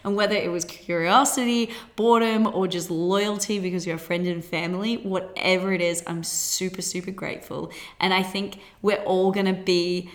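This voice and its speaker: A woman in her twenties with an Australian accent, hosting a solo podcast in a bubbly, chatty way with quick laughs. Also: on the low side, fairly even intonation. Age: 20s. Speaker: podcast host.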